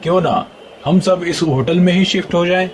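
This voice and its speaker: A man playing a confident hotel businessman and father who brushes off fears, in an animated horror story. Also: high-pitched, normal speed, a little hiss or hum, close to an everyday voice. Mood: worried, practical.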